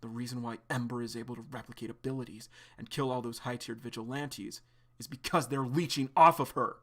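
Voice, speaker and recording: A man's voice: mid-range voice, steady tone, a little fast, close to an everyday voice. A man voicing a vengeful, furious young man who swears bitterly.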